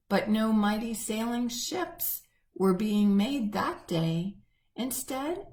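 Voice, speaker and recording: A woman reading a picture book aloud to children, gently and expressively. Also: a little high. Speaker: church children's storyteller.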